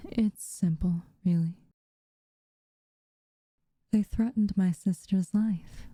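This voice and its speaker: A woman playing an aloof, teasing but secretly caring witch in a soft, breathy, intimate ASMR roleplay. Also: fairly low pitch, no echo. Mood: somber, matter-of-fact. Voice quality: soft, breathy, often near a whisper. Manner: unhurried, hushed, dryly teasing.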